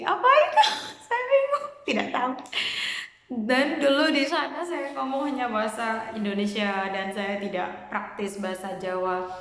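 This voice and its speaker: A woman's voice: medium pitch, fairly bright tone. Hesitant Cambodian-accented woman in an echoey room. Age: young adult. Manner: hesitant, many fillers and pauses. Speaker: vlogger. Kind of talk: casual vlog monologue.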